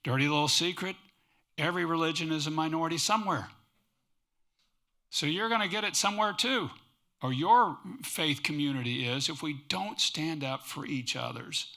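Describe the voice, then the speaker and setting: Man, panelist, higher bright voice, emphatic and conversational. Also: moderate pace, creaky. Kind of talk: panel discussion. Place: conference panel before an audience.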